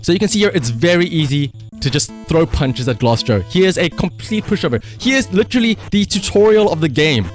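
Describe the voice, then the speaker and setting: Animated, higher-voiced male game streamer. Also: fairly quick, hardly any pauses, soft background music. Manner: excitable, emphatic, shouts at the game, swears. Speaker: live streamer. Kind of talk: casual livestream gaming commentary.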